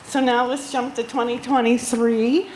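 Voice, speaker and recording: A woman giving a memorial tribute at a gathering, speaking haltingly with frequent pauses and ums. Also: a little high, level tone, a little hiss or hum, poor audio. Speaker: eulogy speaker. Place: outdoor barn gathering.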